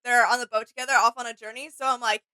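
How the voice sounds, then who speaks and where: A woman's voice: on the high side, fairly even intonation, racing, bright, forceful tone, no echo. A woman co-hosting a reaction video, giving casual fan commentary and often searching for her words.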